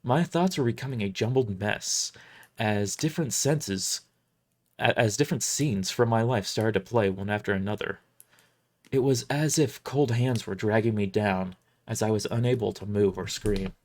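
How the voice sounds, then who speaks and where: A narrator reading a first-person fantasy audio drama in a deliberate, emotive way. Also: mid-range voice, a little mellow.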